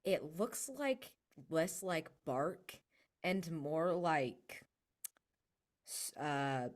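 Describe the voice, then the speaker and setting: A woman's voice: low voice, very animated, very slow. A woman playing a tabletop game, casually improvising descriptions and searching for her words as she goes.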